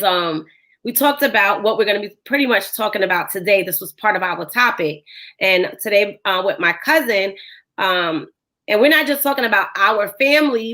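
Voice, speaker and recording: A woman with a hoarse voice, hosting a podcast in a casual chat full of fillers. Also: medium pitch, fairly bright tone. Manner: chatty, filler-heavy. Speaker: podcast host.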